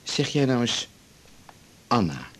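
An actor playing a teasing, easygoing father-to-be in a radio drama, with a husky voice. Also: mid-range voice, very animated, slow pace, fairly bright tone, exaggerated voice. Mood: relaxed, reassuring. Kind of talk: naturalistic radio drama dialogue.

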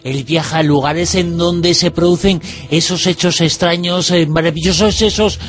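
A man's voice: a little high, fairly even intonation, normal speed, faint music behind. A man with a Spanish accent, presenting a radio show in an announcer-like way, with many fillers. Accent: Spanish (Spain). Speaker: radio host.

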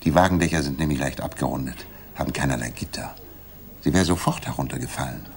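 Low-voiced, shrewd detective. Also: male voice, gravelly. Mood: explaining, assured. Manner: deliberate, didactic reasoning. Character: confident, shrewd male detective in Victorian London, methodical and commanding. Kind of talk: 1960s German radio play acting. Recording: noisy 1960s radio drama recording.

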